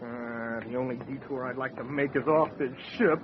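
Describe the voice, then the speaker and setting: An actor playing a brash, flippant, excitable sidekick pressed into sailing, full of quips in an old-time radio drama. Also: male voice, medium pitch, normal speed, lightly acted. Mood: alarmed grumbling. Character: brash, joking male sidekick, reluctant sailor. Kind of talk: old-time radio comedy-horror acting. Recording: muffled radio recording, clear noise.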